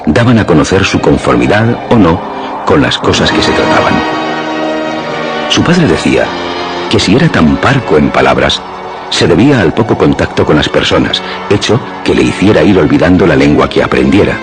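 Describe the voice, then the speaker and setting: A man with a Castilian Spanish accent narrating a radio suspense drama in measured, literary storytelling. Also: a bass voice, sing-song. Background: music.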